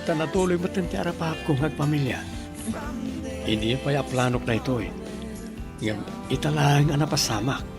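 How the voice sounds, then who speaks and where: Brief: earnest young husband, slightly high male voice, heavy music bed. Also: moderate pace, long pauses. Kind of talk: Ilocano radio drama acting. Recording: loud music bed behind.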